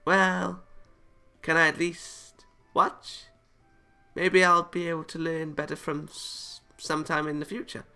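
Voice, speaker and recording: Guy doing a playful anime girl, pitched up and bright, bubbly visual novel type, faint music. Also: monotone, measured pace, vocal fry, clear, resonant tone, heavily acted. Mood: pleading.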